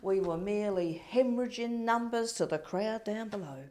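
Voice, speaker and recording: An actress in a comedy play, voicing a brisk, bossy director from heaven with an emphatic, theatrical manner. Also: female voice, medium pitch, mellow, lightly acted. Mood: matter-of-fact, brisk. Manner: emphatic, theatrical, repeats words for effect. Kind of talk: comedy stage play acting.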